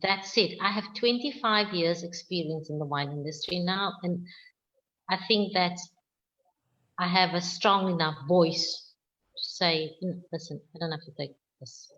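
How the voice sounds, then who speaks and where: South african lady, slow emphatic answers, kinda muffled lo-fi call audio. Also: on the low side, a bit bright. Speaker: winemaker guest. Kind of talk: video call interview answer.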